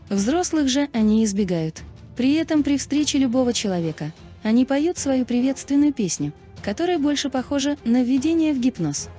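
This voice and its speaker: A woman's voice: sits high, dark tone. A woman reading scripted, documentary-style narration. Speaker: video narrator. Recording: music behind the voice. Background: background music.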